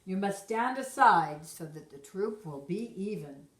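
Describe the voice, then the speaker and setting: A woman acts as a male insect sergeant in a children's story. He speaks up loudly and firmly, with an apologetic edge to his orders. The sound is echoey. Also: medium pitch, expressive, measured pace. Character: apologetic but firm male bug army sergeant. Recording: roomy echo.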